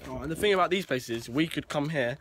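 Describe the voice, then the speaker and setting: A man's voice: a little high, lively intonation, fast, fairly bright tone, slight background noise. Young man chatting to viewers. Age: young adult. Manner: chatty, addresses viewers directly, nonstandard grammar. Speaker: vlogger. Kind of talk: casual walking vlog. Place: overgrown woods outdoors. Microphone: friend's handheld phone.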